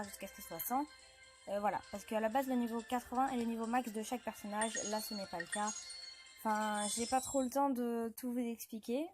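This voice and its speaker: A woman with a cold and a dry throat, rambling and apologizing as she comments on her mobile game. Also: on the high side. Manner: chatty and rambling, often apologizing. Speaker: gaming channel host. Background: game music.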